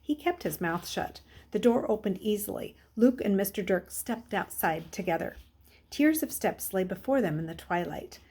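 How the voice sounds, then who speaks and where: A woman narrates a children's novel, reading it aloud. Her voice sits at a medium pitch and is a little husky. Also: expressive, normal speed, darkish tone. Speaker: story reader.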